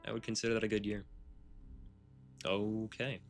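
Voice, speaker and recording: A man's voice: fairly low pitch, little pitch movement, normal speed, faint music behind. A man livestreaming a video game with casual, laid-back, offhand commentary.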